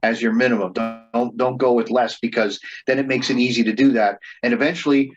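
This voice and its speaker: A man's voice: medium pitch, fairly even intonation, fairly quick, faint music behind. Rambling man with a dull-sounding mic. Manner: rambling, frequent restarts and repeated words. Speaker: band leader and reenactor being interviewed. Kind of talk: casual interview storytelling.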